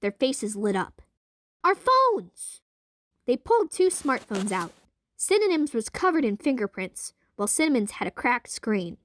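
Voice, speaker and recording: A woman's voice: on the high side, very animated, moderate pace, dry recording. A young adult woman reading scripted narration for an audio drama.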